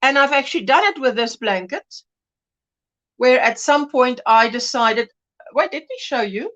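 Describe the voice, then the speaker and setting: A woman's voice: sits high, animated, normal speed, fairly bright tone, lo-fi sound. A woman hosting a casual crochet livestream, chatty and greeting viewers by name, with a mixed English and Afrikaans home language. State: drowsy from pain tablets. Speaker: livestream host.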